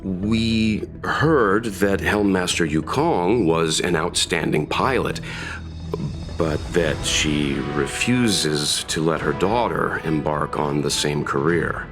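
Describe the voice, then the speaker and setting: A man acting as a calm, polite and helpful traveler in a video game, with a composed, formal manner. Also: low-pitched, takes it slowly. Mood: polite, inquiring. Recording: faint music under the voice.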